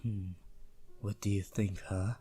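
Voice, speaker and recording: A man playing a possessive, seductive vampire prince in a teasing, intimate ASMR roleplay with quiet menace. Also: on the low side, level tone, takes it slowly, breathy edge, faint music behind.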